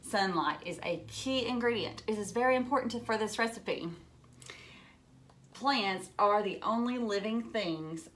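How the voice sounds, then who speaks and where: A woman's voice: mid-range voice, a bit bright, a little hiss or hum. A woman teacher reading a children's book aloud, adding simple, encouraging explanations in short phrases and putting questions to young listeners.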